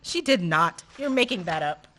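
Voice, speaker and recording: A woman's voice: lowish voice, lively intonation, moderate pace, a bit bright. A woman playing a teasing, beguiling young female graduate student in an amateur radio play. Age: young adult. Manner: teasing, playful.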